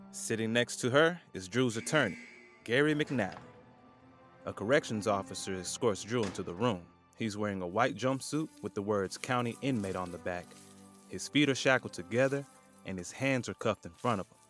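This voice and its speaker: A man narrating a scripted audio drama as its storyteller. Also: lowish voice. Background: faint music.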